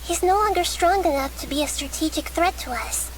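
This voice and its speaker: A woman playing a gentle, thoughtful young goddess of wisdom in a video game, calm and measured in formal phrasing. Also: extremely high pitch, low-quality recording. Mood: composed. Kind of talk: video game character acting. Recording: game audio with background noise.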